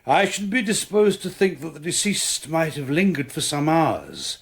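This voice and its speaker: Radio drama surgeon: British, formal, emphatic, self-assured, with widely swinging intonation. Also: male voice, fairly high pitch, lively intonation, normal speed, gravelly. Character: authoritative male surgeon and medical witness, precise, self-assured.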